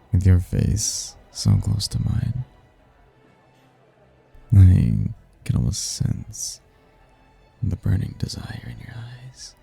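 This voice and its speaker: A man playing a suave, seductive male demon in an intimate ASMR roleplay, teasing and flirtatious, with a husky, gravelly murmur. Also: big pitch swings, mellow, soft background music, full character voice. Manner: slow, flirtatious, breathy murmurs with low chuckles.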